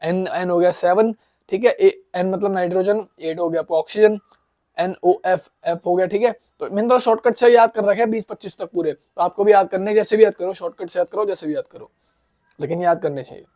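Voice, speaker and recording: A man with a high voice, made hoarse by a sore throat, teaches exam-prep chemistry. He reads each question slowly and then quizzes his listeners, answering his own questions. The sound is dry and close, with no room echo. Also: mellow. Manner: explains in Hindi, reads questions aloud in English, asks and answers his own questions.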